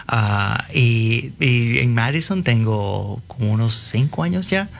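A man's voice: mid-range voice, lively intonation, takes it slowly, vocal fry. A man with a Venezuelan accent introducing himself conversationally as a panel guest. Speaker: guest, peer support team leader.

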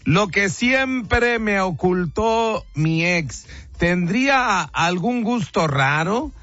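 High-voiced northern Mexican male radio host. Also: animated, drawn-out words, fairly bright tone, no echo. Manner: colloquial, repeats himself, talks directly to listeners. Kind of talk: casual call-in radio chat. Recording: dull lo-fi radio sound, music behind. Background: music.

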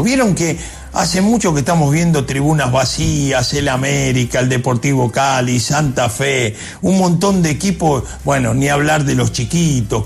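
A man's voice: medium pitch, vocal fry, breathy edge. A man giving an emphatic sports preview, hammering points home with rhetorical repetition. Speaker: sports commentator.

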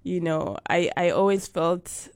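A woman from Uganda recounts her childhood and faith in a measured, slow way. Her voice has a gravelly edge and sits a little low. The sound is dry and close. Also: fairly even intonation, darkish tone, no echo. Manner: slow, thoughtful storytelling with fillers. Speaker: guest. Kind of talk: interview about life and faith.

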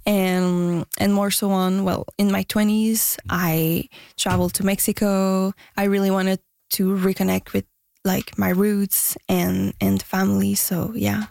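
Shy woman in her 30s, halting answers w lots of "like", dry close sound. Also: medium pitch, little pitch movement, vocal fry, no echo. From Quebec, Canada. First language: French and Spanish. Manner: soft-spoken, hesitant, many fillers and restarts. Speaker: musician guest. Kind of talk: interview answers.

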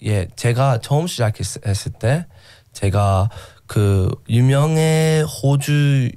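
A man from Australia with an Australian accent, a native English speaker answering interview questions in simple, halting phrases mixed with English words. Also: mid-range voice, animated, mellow, clean tone, dry recording. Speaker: radio show guest, social media creator. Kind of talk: casual interview in halting second-language speech.

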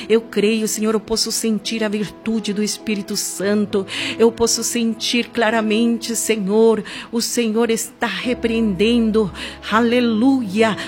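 A woman with a Brazilian accent leading a fervent, improvised evangelical prayer, with repeated invocations and drawn-out emphatic words. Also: medium pitch, darkish tone. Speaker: church prayer leader. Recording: music playing behind the voice. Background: background music.

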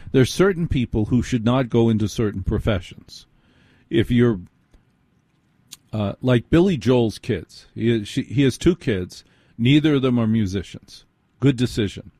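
An American man hosting a live call-in radio show, blunt and sardonic, quick to cut in. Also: on the low side, warm tone, dry recording.

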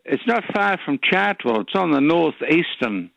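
Gravelly New caller on a dull line. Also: male voice, a little high, sing-song, measured pace, no echo. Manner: polite, chatty, gently punning. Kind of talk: talkback radio call.

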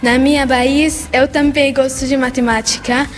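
Teenage girl in halting learner's Portuguese. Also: high voice, level tone, big-room sound. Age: teens. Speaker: interviewed student. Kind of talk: news interview.

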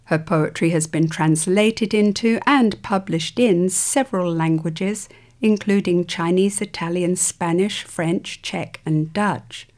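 A woman hosting a program, reading a formal guest introduction and asking questions in a clear, composed way. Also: on the low side, slow pace, darkish tone. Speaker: radio program host.

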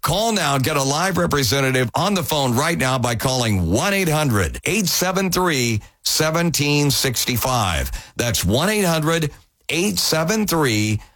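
A man hosting a paid radio program, pitching a product and repeating the phone number in an upbeat sales tone. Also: big pitch swings, unhurried, fairly bright tone. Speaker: radio infomercial host.

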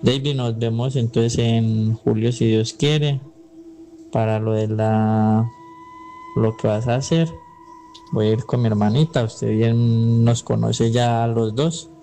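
A man's voice: mid-range voice, fairly even intonation, slow pace, dark tone, slight background noise. A man with a Colombian accent, calling a radio show and speaking in a casual, personal storytelling way. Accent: Colombian Spanish.

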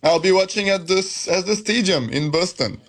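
A man's voice: high-pitched, moderate pace. A man talking casually as a football supporter and guest in a live interview.

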